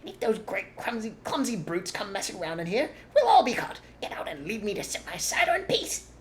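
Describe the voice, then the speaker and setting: A man voicing a shrill, scolding male rat who is drunk on cider. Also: wildly swooping pitch, brisk, a little hiss or hum.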